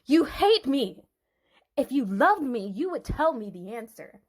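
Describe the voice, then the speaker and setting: High-pitched pleading young bride, acted by a woman. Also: very animated, a little slow, darkish tone, exaggerated voice. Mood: tearful, accusing. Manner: pleading, coaxing, dramatic. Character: pleading, manipulative young Philistine bride, anxious and coaxing. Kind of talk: Bible story dramatization.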